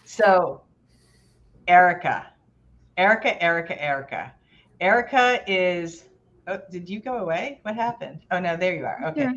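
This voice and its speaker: A low-voiced woman hosts an online gathering, chatty and encouraging. Her voice comes through dull, lo-fi call audio. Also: animated, moderate pace, long pauses. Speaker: host. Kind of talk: hosting an online party. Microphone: video call.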